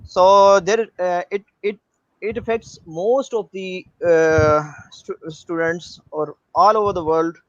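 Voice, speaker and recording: Pakistani guy practicing english on a call, lots of uhs, flat dull audio. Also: high-pitched, dry recording. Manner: halting, many uh fillers and restarts. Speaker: participant in conversation group.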